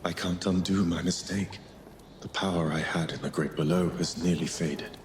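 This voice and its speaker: An actor playing a weary, regretful death god in a video game, speaking in a solemn, measured way. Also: male voice, deep voice, steady tone, lightly acted. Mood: remorseful, grave. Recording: roomy echo, noisy game ambience.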